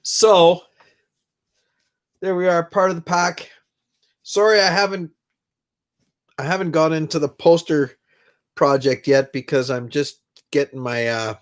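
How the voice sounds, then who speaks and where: A man's voice: a little high, fairly even intonation, slow, pauses often. Middle-aged guy on his stream, all congested, rambling and saying hi to chat from his room. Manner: rambling, chatty, greets viewers by name. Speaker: livestream host. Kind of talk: casual live-stream chat with viewers. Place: his own room at home.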